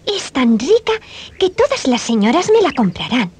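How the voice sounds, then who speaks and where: Cheerful, high-voiced milkmaid girl. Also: sing-song, moderate pace, a little mellow, breathy edge, exaggerated voice. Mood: dreamy, hopeful. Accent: Castilian Spanish. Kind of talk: children's storybook dramatization. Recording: 1980s cassette, music behind.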